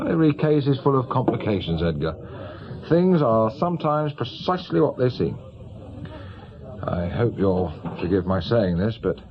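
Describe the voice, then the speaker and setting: An actor playing a brisk, self-assured senior British police officer in middle age, matter-of-fact and rather patronising. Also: male voice, mid-range voice, lo-fi sound. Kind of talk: naturalistic radio drama acting.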